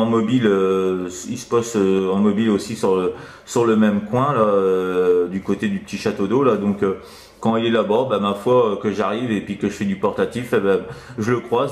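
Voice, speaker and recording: A man's voice: on the low side, darkish tone. A man chats casually as a CB radio operator, hesitant and rambling with frequent tag words. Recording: roomy echo around the voice.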